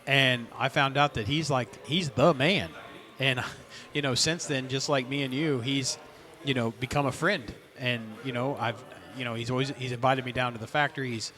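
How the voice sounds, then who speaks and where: Man, podcast host, relaxed, casual, middle pitch. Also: normal speed. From North Carolina. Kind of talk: casual conversation.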